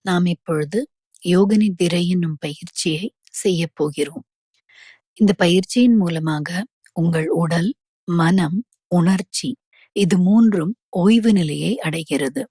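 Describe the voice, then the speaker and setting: Slow, husky woman guiding relaxation. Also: fairly low pitch, no room sound. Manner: calm, measured, unhurried instructions with pauses. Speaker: yoga nidra meditation guide. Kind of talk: guided relaxation instruction.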